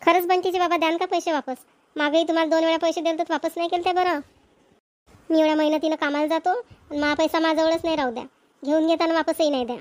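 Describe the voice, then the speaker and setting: A woman's voice: extremely high pitch, fairly even intonation, quick pace, no echo. A woman playing a practical, nagging village wife and mother in a cartoon comedy, with a Vidarbha Marathi accent.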